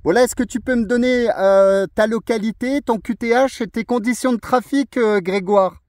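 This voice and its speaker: High-pitched Frenchman chatting on radio. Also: normal speed, darkish tone, no echo, clean studio sound. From France. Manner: chatty, friendly, tag words at phrase ends. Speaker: amateur radio operator. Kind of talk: casual radio contact chat.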